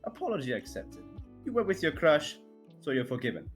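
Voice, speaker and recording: A man's voice: fairly high pitch, very animated, a little fast, soft background music, pitched above the performer's own voice. A man voicing a playful, cheeky young female student in an exaggerated amateur fanfiction reading. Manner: exaggerated amateur dramatic delivery.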